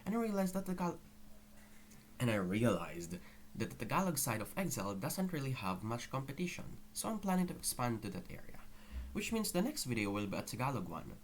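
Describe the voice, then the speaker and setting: A man's voice: medium pitch, very animated, brisk, slight background noise. A young man with a Filipino accent giving a candid, apologetic personal update to viewers, recorded straight on an unprocessed microphone. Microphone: raw microphone with no processing.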